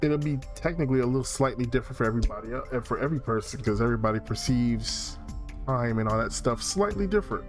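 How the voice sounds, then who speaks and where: A man's voice: mid-range voice, expressive, quick pace, soft background music. A man hosting a let's play, thinking aloud in casual, rambling, slangy commentary.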